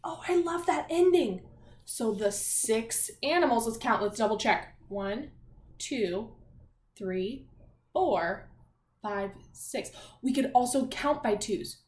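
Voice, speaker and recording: A woman teacher talking young children through a read-aloud and math lesson in an animated, encouraging, sing-song way. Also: on the high side, slow. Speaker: primary school math teacher. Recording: echoey room, faint background noise.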